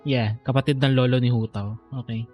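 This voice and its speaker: A man's voice: mid-range voice, fairly even intonation, fast talker, a little mellow. A man with a Filipino accent giving casual gameplay commentary as a streamer, thinking aloud and switching languages, over game music. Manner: code-switching, musing aloud. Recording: faint game music behind the voice.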